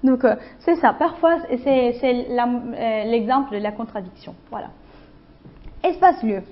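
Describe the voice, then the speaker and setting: A woman with a gentle Italian accent teaches a university class, her voice sitting fairly high. She pauses often, restarts phrases and keeps checking that the students understand. The recording is muffled and lo-fi. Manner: hesitant, many fillers and restarts, checks understanding. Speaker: guest lecturer. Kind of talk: university lecture.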